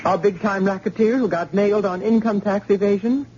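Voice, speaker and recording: An actress playing a tired, sharp-tongued young nurse who bristles at the doctor in an old radio drama. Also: female voice, mid-range voice, fairly even intonation, slight background noise, lightly acted. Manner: crisp and quick to bristle. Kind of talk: 1950s radio drama acting. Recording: muffled old radio recording.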